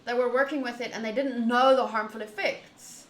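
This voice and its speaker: A woman streaming a visual novel, reading text aloud and thinking out loud in an animated, rambling way. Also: fairly high pitch, moderate pace. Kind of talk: reading game text aloud with casual commentary. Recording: roomy echo, faint background noise.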